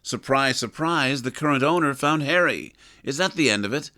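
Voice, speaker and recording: A man's voice: mid-range voice, lively intonation, normal speed, pushed and bright. A man playing a wry narrator, reading scripted narration for a domestic audio comedy.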